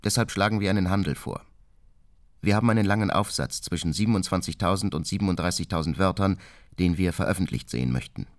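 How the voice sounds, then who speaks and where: Radio drama: cold, self-justifying anarchist bomber. Deep voice, deliberate declarations, very dry close sound. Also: male voice, no room sound. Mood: cold, menacing. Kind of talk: radio drama monologue, read confession.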